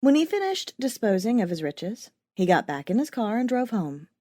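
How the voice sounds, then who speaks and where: A woman narrating scripted first-person fiction as an audiobook, with a wry, expressive storytelling manner. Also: fairly high pitch, moderate pace, no echo, studio quality.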